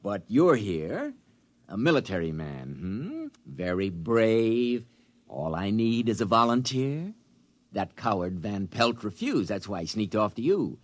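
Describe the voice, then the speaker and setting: An actor playing an eccentric, callous mad scientist in a 1950s radio drama, theatrical, wheedling and contemptuous. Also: male voice, lowish voice, big pitch swings, unhurried, no echo. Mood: cajoling. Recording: vintage 1950s radio broadcast.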